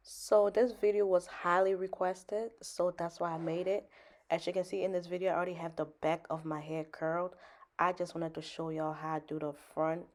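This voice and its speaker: A Liberian woman talks through her hair routine in a relaxed, self-assured way at an everyday middle pitch. She is playful and chatty, like a vlogger talking straight to her viewers. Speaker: beauty and fashion vlogger. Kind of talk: casual hair tutorial talk.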